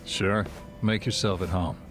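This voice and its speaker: A man's voice: sits low, steady tone, a little slow, over music. A man acting a dry, kindly, laid-back bartender in a video game cutscene, with a wry manner. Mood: wry, fond.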